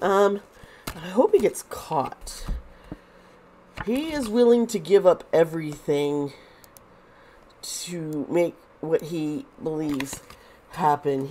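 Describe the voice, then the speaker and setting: A woman lays out tarot cards and talks at an unhurried, drawn-out pace, her nose blocked and her voice wearing thin. Her pitch sits on the lower side, and she circles back on herself with restarts and fillers. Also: darkish tone, a little hiss or hum. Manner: rambling with many restarts and fillers. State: congested, losing her voice. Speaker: tarot reader. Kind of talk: casual tarot reading to camera.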